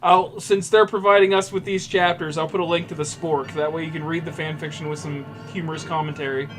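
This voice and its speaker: A man chatting casually as he plays a game, excitable and rambling in an informal way. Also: on the high side, a little fast, music in the background, low-quality recording. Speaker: co-host playing a video game. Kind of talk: casual banter over gameplay.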